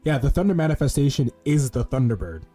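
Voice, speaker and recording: A man with a dark, mellow, mid-pitched voice gives casual gameplay commentary. He rambles and swears while thinking out loud, with faint music behind him. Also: sing-song, quick pace. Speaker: streamer playing a video game.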